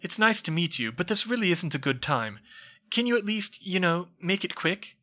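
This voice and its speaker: A jittery young boy wizard, as a male storyteller puts him on stammers and pleads in hushed, urgent tones. His voice sits a little higher than the narration and stays bright and lively. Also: moderate pace, exaggerated voice. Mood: nervous. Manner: nervous, stammering, urgent whispering.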